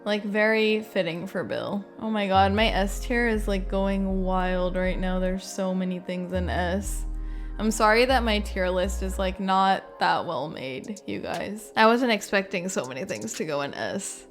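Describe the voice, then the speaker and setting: A woman's voice: mid-range voice, measured pace, soft background music. A woman giving casual, informal reaction commentary as a gaming creator, thinking aloud in a hesitant way. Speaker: video game streamer and reviewer.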